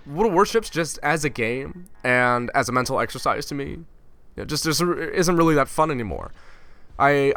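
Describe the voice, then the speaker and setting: A young gaming YouTuber from Finland speaks in a casual vlog with a bright, forceful voice. He rants in an exasperated, sarcastic way. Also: medium pitch.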